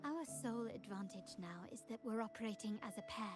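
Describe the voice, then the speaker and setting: A woman's voice: medium pitch, animated, fairly quick, close to an everyday voice. An actress playing a poised young noblewoman and family heir in a video game, formal, composed and resolute. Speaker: game character. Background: game music.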